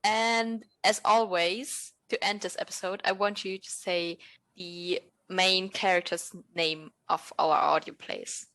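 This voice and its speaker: A woman's voice: lowish voice, little pitch movement, takes it slowly, a bit bright, dry recording, rough recording. A woman hosting a podcast interview, putting structured questions to her guests. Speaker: podcast host.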